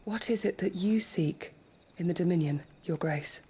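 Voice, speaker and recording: A woman's voice: fairly low pitch, moderate pace, warm tone, slight background noise, lightly acted. An actress playing a loyal, thoughtful attendant to a nobleman in a fantasy game, speaking formally and with composed deference. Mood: pensive. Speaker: video game character. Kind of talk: dramatic cutscene acting.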